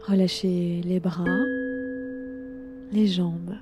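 Woman guiding meditation over loud music. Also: on the low side, level tone. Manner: soothing, gentle, unhurried instructions with long pauses. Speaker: yoga nidra meditation guide. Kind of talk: guided relaxation meditation. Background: loud instrumental relaxation music.

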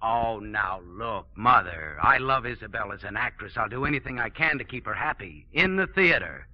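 An animated, mid-pitched man's voice plays an affable, busy Broadway producer. He is brisk and casual in 1940s radio acting style, on a dull old recording. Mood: matter-of-fact.

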